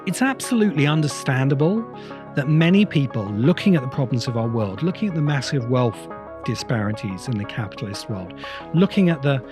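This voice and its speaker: A man delivering a reflective, semi-improvised spoken essay as a host, with deliberate, halting phrasing and emphatic repeats. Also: medium pitch, sing-song, over music. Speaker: host of a science fiction video essay podcast.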